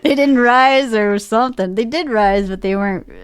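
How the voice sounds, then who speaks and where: A woman's voice: on the high side, moderate pace, clean tone, dry recording. A woman chatting casually as a podcast guest, telling anecdotes and acting out her quoted reactions. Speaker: voice actor and podcaster, interview guest.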